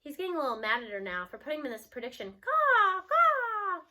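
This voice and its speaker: A woman narrating a children's story aloud in an expressive read-aloud style. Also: fairly high pitch, very fast.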